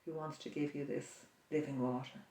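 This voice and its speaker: Soft-spoken prayer leader in an echoey room. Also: mid-range voice, little pitch movement. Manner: slow, soft-spoken, reflective, with pauses. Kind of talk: guided imaginative prayer. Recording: roomy echo, distant mic.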